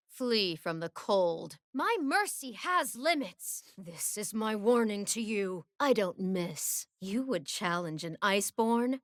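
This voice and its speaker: A regal warrior archer queen from a video game, solemn and resolute. Her voice is fairly high and moves with sweeping intonation. She declaims each battle line slowly and gravely, in a dry, close sound. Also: a bit bright, no echo, heavily acted. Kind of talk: video game character voice lines.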